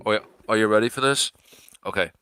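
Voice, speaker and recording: A man's voice: on the low side, fast, bright, forceful tone, smooth, dry recording, clean studio sound. Playful man with a New York accent. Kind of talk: casual gaming chat.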